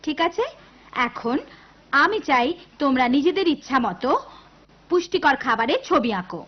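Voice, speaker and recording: A woman playing a gentle, patient, encouraging schoolteacher in a cartoon. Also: high-pitched, lively intonation, moderate pace. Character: kind, encouraging young schoolteacher. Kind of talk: cartoon voice acting. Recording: dull lo-fi cartoon audio with music.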